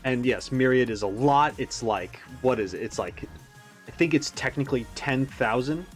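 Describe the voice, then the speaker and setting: A man's voice: mid-range voice, darkish tone. Musing man rambling through casual commentary, music behind. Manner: musing, tentative, thinking aloud. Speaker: YouTube reaction host.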